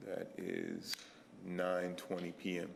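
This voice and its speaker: A man with a very low, gravelly voice gives testimony. His answers are brief, factual and clipped, delivered in a flat monotone with faint noise behind. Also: slow. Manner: clipped, matter-of-fact answers. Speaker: witness testifying.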